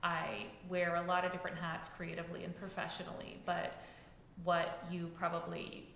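A woman guest on a podcast, an author chatting casually about her work with a loud, talkative delivery. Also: on the low side, monotone, normal speed, creaky, reverberant, a little hiss or hum, rough recording. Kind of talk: casual interview chat.

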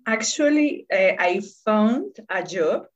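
A Colombian woman, a native Spanish speaker, speaking English haltingly in casual conversation, often searching for words. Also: medium pitch, animated, highs cut off. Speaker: English learner.

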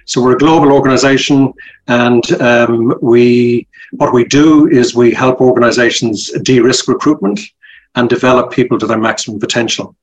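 A man's voice: medium pitch, steady tone, normal speed, dull audio. A middle-aged man with a Dublin Irish accent, chatting as an interview guest in a rambling, hesitant way full of ums and restarts. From Ireland. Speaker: guest, company director. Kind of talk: casual interview chat.

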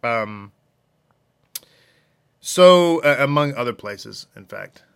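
A man's voice: mid-range voice, expressive, slow pace, gravelly, fairly bright tone, smooth. A man giving an informal scripture lesson as a religious teacher, repeating phrases for emphasis. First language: English.

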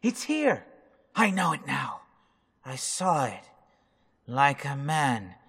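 A man's voice: medium pitch, big pitch swings, slow pace, a bit bright, breathy edge, in a large hall, heavily acted. A man playing a ship's mate driven mad with fear, speaking in a fixated, obsessive way in a radio drama reenactment. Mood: unhinged.

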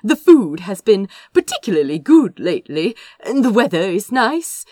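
A woman's voice: medium pitch, sing-song, moderate pace, warm tone. A woman acts out a fussy young prince from a storybook romance. He is flustered and cheerfully evasive, chattering politely with wildly swinging intonation in a dry, echo-free space. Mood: flustered, chirpy. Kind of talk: fan fiction audiobook dialogue.

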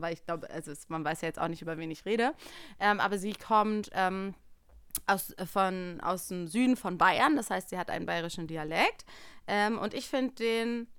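A young adult woman talking casually as a podcast co-host, telling personal stories in an animated way and quoting what people said. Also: mid-range voice, clear, resonant tone, dry recording.

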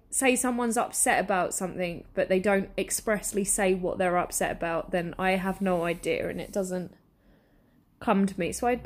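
Chatty woman. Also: mid-range voice. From southeast England. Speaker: podcast co-host. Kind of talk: casual chat.

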